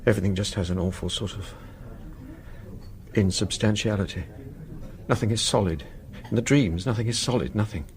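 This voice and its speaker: An actor playing a haggard middle-aged college warden in a radio drama, halting and anguished, tormented by nightmares. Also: male voice, lowish voice, close to an everyday voice. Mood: haunted, fearful. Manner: halting, anguished, broken phrases.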